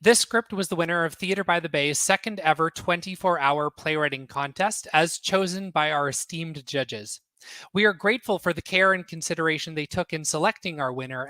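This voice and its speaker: A man speaks as an event host in a fairly high, very bright voice. He introduces and interviews guests in a formal presenting style, recorded dry and close. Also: no echo. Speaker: host of an online theatre event.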